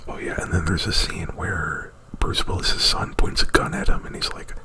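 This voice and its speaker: A man whispering an ASMR retelling of a movie plot right up at the mic, in a halting, rambling, informal way. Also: low-pitched. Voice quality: breathy whisper. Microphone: very close to the mic.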